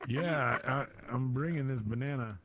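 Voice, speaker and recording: A man's voice: mid-range voice, moderate pace, fairly bright tone. A man speaking in earnest, playful broken English as a podcast guest.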